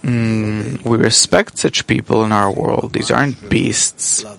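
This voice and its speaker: A man interpreting a spiritual lesson live into English, speaking in measured, halting phrases with pauses mid-sentence. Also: on the low side, steady tone, gravelly, fairly bright tone. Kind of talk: simultaneous interpretation from another language.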